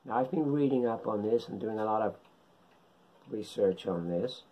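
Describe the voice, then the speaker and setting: A middle-aged man giving an informal tutorial in short, halting phrases, speaking at home into a camera microphone. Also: lowish voice, animated, dark tone, rough recording. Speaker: amateur radio hobbyist presenter. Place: indoors, living room at home.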